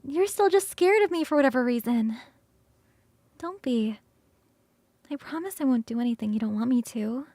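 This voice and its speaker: A woman voicing a playful, hungry and affectionate succubus roommate in an ASMR roleplay, coaxing and teasing with sighs and soft, breathy turns. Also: sits high, lively intonation, no echo. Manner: coaxing, sighing, drawn-out savouring, shifting into soft whispers.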